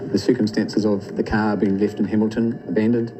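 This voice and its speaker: A man recounting events in a documentary interview in a flat, matter-of-fact way with hesitations. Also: fairly low pitch, a little fast, music in the background, rough recording.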